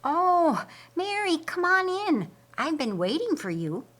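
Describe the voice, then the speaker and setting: Woman voicing a brisk, welcoming storybook hostess, high-pitched. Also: big pitch swings, a bit bright, exaggerated voice. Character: brisk, welcoming, busy young hostess Esther.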